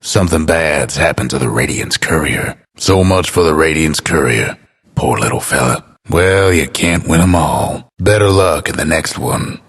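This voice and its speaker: Game announcer: folksy old-West storyteller, rock-bottom pitch, heavy husk, laconic and dryly wry. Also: male voice, animated, normal speed, heavily acted. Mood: dry, rueful. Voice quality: husky, gravelly. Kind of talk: scripted game announcer lines, drawling western narration.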